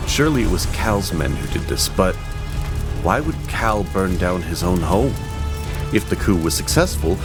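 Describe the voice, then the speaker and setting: Deep-voiced male storyteller. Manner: measured, descriptive storytelling. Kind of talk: scripted audio drama narration. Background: music.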